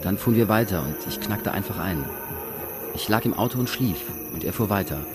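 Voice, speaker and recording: Man, lowish voice, scripted radio voice-over, loud music behind. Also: lively intonation, brisk. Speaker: German voice-over narrator. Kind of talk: scripted translated narration for radio.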